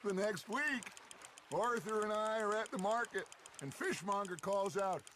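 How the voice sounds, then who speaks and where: An actor playing a confident, genial middle-aged gang leader in a western game, theatrical and teasing. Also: male voice, sits high, a little slow, a bit bright. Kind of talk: cinematic western game acting. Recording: clear outdoor ambience noise behind.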